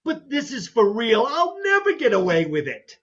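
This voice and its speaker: A dull recording of a mild, flustered doctor played by a man in a stage farce. His pitch jumps about as he hesitantly protests and tries to get out of things. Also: wildly swooping pitch, moderate pace, a bit bright, heavily acted. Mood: reluctant protest. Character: flustered, reluctant mild male doctor, easily put upon. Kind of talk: stage farce readers theater acting.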